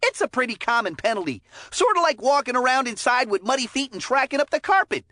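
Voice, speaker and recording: A woman voicing an excitable, chummy ex-player color commentator in a cartoon hockey game, with folksy, chatty delivery and mild exclamations. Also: high-pitched, quick pace. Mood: chatty, explanatory.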